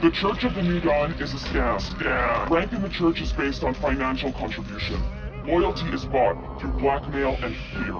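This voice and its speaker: A man's voice: medium pitch, lively intonation, normal speed, lo-fi sound, over music, lightly acted. A man playing a solemn, menacing hacker collective narrator delivering a scripted manifesto in a game. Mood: ominous. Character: grim anonymous male hacker collective propaganda narrator.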